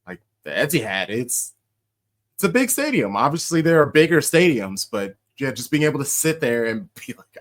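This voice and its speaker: A young American man hosting a casual podcast, an animated storyteller who laughs often and shouts out quoted chants. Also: mid-range voice. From United States. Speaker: podcast host.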